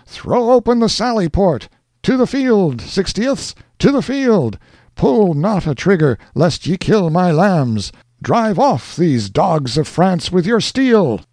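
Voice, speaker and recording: A man voicing an older fort commander who shouts orders in a theatrical, melodramatic way. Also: high-pitched, very animated, takes it slowly, dry recording, studio quality, pitched above the performer's own voice, exaggerated voice. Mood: anguished.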